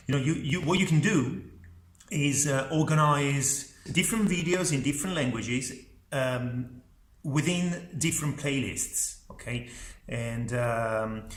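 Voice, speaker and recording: A man's voice: mid-range voice, echoey room. A man whose first language is Italian, talking casually to camera as a YouTuber, thinking aloud with lots of fillers and "okay?" check-ins. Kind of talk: casual advice talk to camera. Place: at home by his computer.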